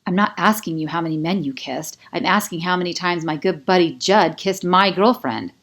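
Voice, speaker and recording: A woman's voice: fairly low pitch, normal speed, fairly bright tone. Woman voicing Kai, an intense young man. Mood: jealous.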